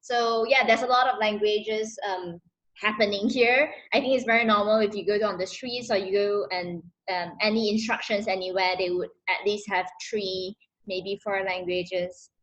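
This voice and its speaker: A young woman from Malaysia, answering interview questions over a video call, relaxed and laughing often between fillers. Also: medium pitch, moderate pace, muffled recording. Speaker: interviewee, dancer.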